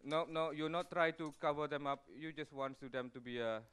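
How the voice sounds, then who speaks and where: A male chef walks an audience through a recipe in a live demonstration. His voice is smooth and clear and pitched a little high for a man, and he talks in a chatty way, with plenty of "uh"s and restarts as he explains each step. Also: steady tone, fairly quick, fairly bright tone. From Malaysia. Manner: chatty, explains steps with many fillers and restarts. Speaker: executive chef.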